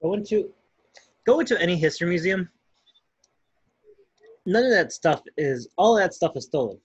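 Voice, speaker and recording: Chicago guy on a podcast, husky voice, blunt and sweary, dull dry sound. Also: high-pitched, big pitch swings, normal speed. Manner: blunt, profane. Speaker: podcast co-host. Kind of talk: casual podcast chat.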